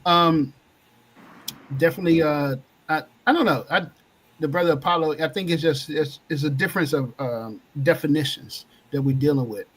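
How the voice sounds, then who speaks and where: A man with a slightly high voice speaks softly and hesitantly on a live panel. He halts often with fillers as he explains his views. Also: normal speed, a little hiss or hum, poor audio. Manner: soft-spoken, hesitant, many fillers. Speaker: panel guest.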